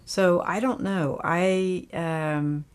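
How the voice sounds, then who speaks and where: An older woman hosts a casual livestream, reading viewer comments aloud and chatting back in a folksy, meandering way. Her voice sits fairly low, and she takes her time with each thought. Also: steady tone, drawn-out words, clear, resonant tone. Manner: folksy, rambling, with frequent fillers. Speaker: livestream host.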